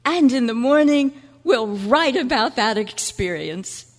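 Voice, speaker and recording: A woman's voice: on the high side, expressive. A woman playing a seductive, worldly and passionate writer with a grand, flirtatious manner in a stage drama. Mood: flirtatious, playful. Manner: grand, flirtatious, drawn-out delivery. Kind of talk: live dramatic stage reading. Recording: reverberant meeting hall.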